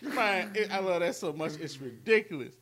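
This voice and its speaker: A man's voice: high voice, animated, moderate pace, a bit bright. A man in his late thirties chatting casually as a podcast co-host, congested from allergies, rambling and repeating himself as he goes. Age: late 30s. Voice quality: stuffy, congested nose. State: stuffy from allergies. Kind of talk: casual chat.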